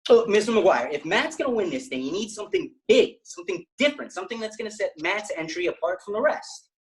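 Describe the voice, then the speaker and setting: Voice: mid-range voice, lively intonation, fast talker, natural voice, poor audio. An actor playing an earnest, scheming teenage boy film buff in a comedic table read, hesitant and then emphatic.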